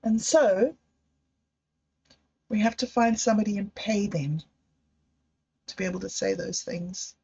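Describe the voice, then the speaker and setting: A woman hosting a livestream, talking slowly and candidly in a meandering personal monologue. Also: medium pitch, creaky, darkish tone, slightly rough. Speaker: livestream host. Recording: dull lo-fi livestream audio.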